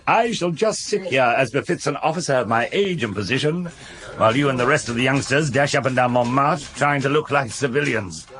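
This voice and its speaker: A man acting as a seasoned older police inspector in a radio play. His delivery is brisk and dry, with a wry, matter-of-fact edge. The pitch sits in an ordinary male middle range. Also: expressive, fairly bright tone, close to an everyday voice. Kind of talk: British radio drama acting.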